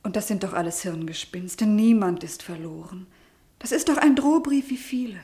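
An actress playing a gentle, trusting young daughter who soothes softly in a German radio drama. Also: female voice, medium pitch, animated, normal speed, lightly acted. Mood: soothing, confident. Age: young adult. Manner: soft, reassuring.

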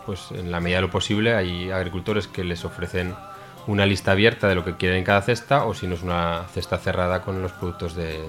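A man's voice: sits low, fairly even intonation, fast talker, fairly bright tone, faint music behind. A man speaking as a studio guest on a radio show, explaining things in a hesitant way with many restarts. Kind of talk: casual explanatory talk.

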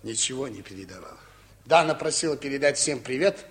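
An actor playing a busy, firm collective-farm chief in a 1950s radio drama, emphatic and instructive. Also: male voice, medium pitch, expressive, fast, fairly bright tone, lightly acted. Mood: courteous.

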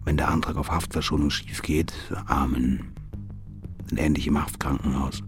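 An actor playing a cynical, fearful, foul-mouthed sick convict in a radio drama monologue, bitter and coarse. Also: male voice, very deep voice, fairly even intonation, normal speed, faint music behind. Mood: grim, calculating.

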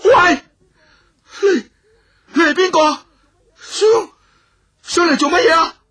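A man's voice: extremely high pitch, takes it slowly, pitched above the performer's own voice, exaggerated voice. A man voicing a timid, flustered young male scholar who stammers and cries out in alarm. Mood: startled. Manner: panicky, stammering, loud exclamations.

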